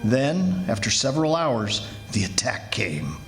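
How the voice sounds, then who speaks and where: A man's voice: mid-range voice, sing-song, soft background music. A man playing an earnest, anxious commissioner in a 1940s radio drama, telling the tale dramatically. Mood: grave, suspenseful. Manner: dramatic, urgent, measured when narrating. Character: anxious, earnest male commissioner recounting the siege. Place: live stage performance at a college.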